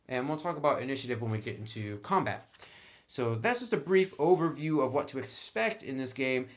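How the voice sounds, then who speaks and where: A man narrating a tabletop game review in an informal, semi-scripted way, with wry asides. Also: mid-range voice.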